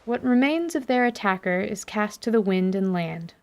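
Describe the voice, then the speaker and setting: A woman's voice: medium pitch, moderate pace, a little hiss or hum. A woman narrating a scripted fantasy story as narrator, with composed, literary delivery. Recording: steady rain ambience behind voice. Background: rain ambience.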